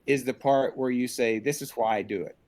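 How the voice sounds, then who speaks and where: A man's voice: mid-range voice, fairly even intonation, a little fast, a little mellow. A man hosting a remote interview, putting questions in a casual, conversational style. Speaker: interviewer, host. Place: remote video call.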